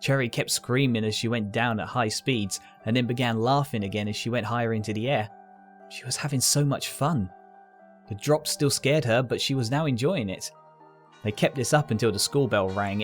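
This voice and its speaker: A man's voice: medium pitch, normal speed. A man reading scripted story narration as the narrator. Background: music.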